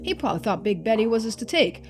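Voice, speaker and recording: A woman's voice: a little high, sing-song, fast talker. Woman playing an earnest, aggrieved pumpkin grower. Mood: indignant.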